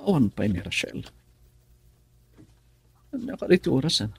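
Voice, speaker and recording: A man with an Ilocano accent playing a troubled married son in a radio drama, his voice gravelly and husky. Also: medium pitch, wildly swooping pitch, normal speed, heavily acted.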